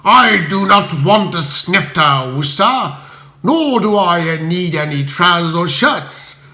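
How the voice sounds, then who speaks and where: A pompous, bullying English lord from a comic audiobook, acted out by a man, snapping out venomous orders in a loud, raised voice. He is haughty and menacing, and the room rings around him. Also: high voice, lively intonation, slow, full character voice. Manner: venomous, bellowing, clipped imperious orders. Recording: roomy echo.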